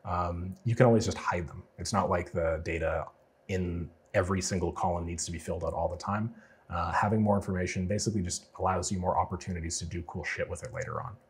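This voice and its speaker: A man getting over a cold, casually walking viewers through a software build in a tutorial, explaining as he goes with plenty of fillers. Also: low-pitched, fairly even intonation, fast talker, husky. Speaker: automation freelancer teaching a client build. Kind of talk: screen-share tutorial walkthrough.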